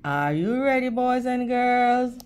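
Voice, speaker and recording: A woman's voice: a little high, animated, takes it slowly, a little mellow, smooth. A woman reading a children's story aloud in a teacherly way, addressing young listeners directly. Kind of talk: animated storytime narration with questions to children.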